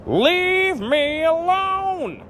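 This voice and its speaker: A man voicing an exasperated old grandmother in a storybook, yelling in high, drawn-out cries. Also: sing-song, a little hiss or hum, full character voice. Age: older. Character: exasperated old grandmother shouting to be left alone. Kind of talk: storybook character voice.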